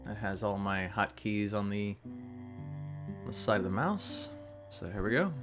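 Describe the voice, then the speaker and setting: A man with a nasal, fairly low voice talks through a game stream in a relaxed, rambling way, full of fillers. He sounds sleepy, as if he just woke up. Soft guitar music plays faintly behind him. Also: unhurried. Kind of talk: casual live-stream gameplay commentary.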